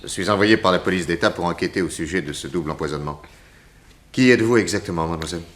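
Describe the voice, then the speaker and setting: An actor playing a self-assured, jovial private detective in a French radio drama, inquisitive and wry in a conversational way. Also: male voice, low voice, quick pace, a bit bright. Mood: businesslike.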